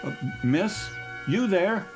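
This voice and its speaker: A man's voice: high voice, lively intonation, slow, music in the background. A man acting in a radio drama as a weary, bewildered businessman on a train, hesitant and stammering. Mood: alarmed, bewildered.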